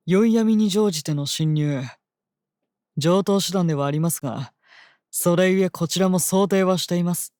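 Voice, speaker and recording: An actor playing a courteous, unnervingly calm guardian of a princess in a fantasy audio drama, speaking formally and precisely. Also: male voice, sits high, normal speed, dry recording, clean studio sound, lightly acted. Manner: formal, gentle, precise polite speech.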